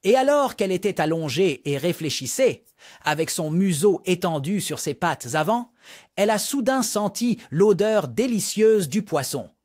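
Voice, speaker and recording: High, animated male storyteller. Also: normal speed, dry recording, clean studio sound. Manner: animated, sing-song storytelling to children. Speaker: children's storyteller. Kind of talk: bedtime story narration for toddlers.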